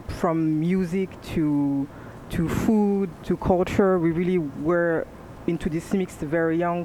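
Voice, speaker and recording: A woman from France speaking on a panel in a measured, hesitant way with frequent pauses. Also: on the low side, mellow, a little hiss or hum, rough recording. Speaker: panel guest. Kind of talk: casual panel chat.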